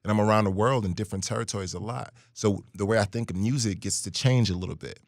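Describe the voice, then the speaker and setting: A man's voice: fairly low pitch, quick pace, creaky, dry recording, studio quality. A man from Brooklyn and Guyana, a musician guest telling stories in a casual interview. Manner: animated storyteller, hums melody lines.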